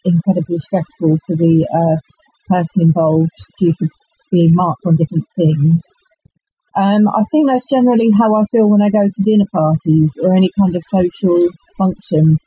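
A woman, an author chatting in an interview in a halting, self-effacing way. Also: on the low side, mellow, breathy edge, rough recording. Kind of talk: casual interview chat.

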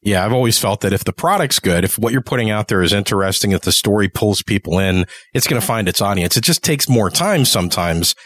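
A man's voice: lowish voice, expressive, a little fast, few pauses, fairly bright tone, dry recording. A man hosting a podcast interview in a conversational, supportive and agreeable way. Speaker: podcast host and producer.

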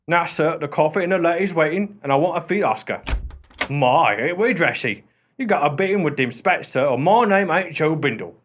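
A man's voice: fairly high pitch, animated, normal speed. An actor playing an irreverent working-class married man in a radio play, folksy and cheeky in his speech. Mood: jaunty. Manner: cheeky, familiar, unflappable.

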